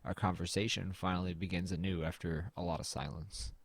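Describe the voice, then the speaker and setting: A man acts out a weary, wry young man from a bleak road-trip audio drama. The voice sits very low and is recorded dry and close. He narrates and talks in an understated, brooding manner. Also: flat delivery, brisk, vocal fry, slightly rough, dry recording, exaggerated voice. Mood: somber narrating. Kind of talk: scripted first-person narration and low-key dialogue in an audio drama.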